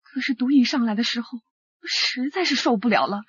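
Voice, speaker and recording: A woman's voice: on the high side, animated, a little fast, fairly bright tone, slightly rough, no echo, highs cut off, heavily acted. A woman playing an anguished, uneasy singer with an addiction in a radio drama, confiding and hesitant.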